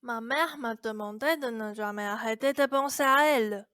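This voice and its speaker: Woman doing stubborn blunt little girl, radio drama, high sharp voice. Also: moderate pace, smooth, dry recording, studio quality, lightly acted. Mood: earnest. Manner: blunt, insistent. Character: stubborn, defiant young girl devoted to her mother. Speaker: student actor.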